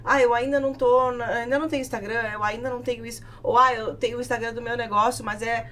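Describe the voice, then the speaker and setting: A woman with a southern Brazilian accent telling stories in an animated, casual podcast conversation, acting out what people said. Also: a little high, fast, a little hiss or hum. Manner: animated storytelling, acts out quoted remarks. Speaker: podcast guest, business owner.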